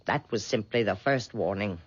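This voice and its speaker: An eccentric, ominous old spinster. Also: female voice, a bass voice, moderate pace, slightly rough. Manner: fussy, then dark and foreboding. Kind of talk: 1970s radio drama acting. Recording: muffled 1970s radio broadcast.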